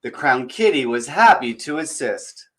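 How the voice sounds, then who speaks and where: A man hosting a children's storytime, chatting to viewers and reading aloud in an expressive, theatrical way. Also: medium pitch, a little slow. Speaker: children's storytime host and reader. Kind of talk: chatty introduction and animated picture-book reading aloud.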